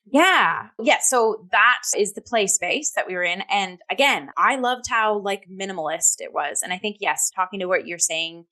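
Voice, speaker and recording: A woman co-hosting a review talk show, chatting casually and effusively, often pausing and leaning on "like" as she goes. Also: mid-range voice, lively intonation, pushed and bright. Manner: effusive, halting, heavy use of "like".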